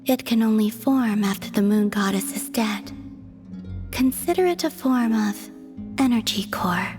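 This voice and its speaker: A woman voicing an aloof, serene young moon goddess in a game cutscene, speaking softly and formally in a measured way. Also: a little high, faint music behind, close to an everyday voice. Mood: detached, matter-of-fact. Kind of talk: video game cutscene voice acting.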